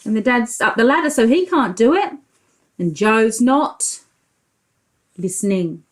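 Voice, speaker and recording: High, lively woman reading to preschoolers. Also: unhurried. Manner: expressive, explains pictures and asks the listeners questions. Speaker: preschool teacher. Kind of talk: animated storybook read-aloud for young children.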